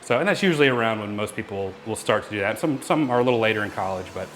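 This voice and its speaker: Raspy-voiced male host, fighting a cold, rapid, candid. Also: on the low side, animated, fairly bright tone, a little hiss or hum. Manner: candid and chatty. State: hoarse from a cold. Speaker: channel host. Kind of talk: casual reaction chat.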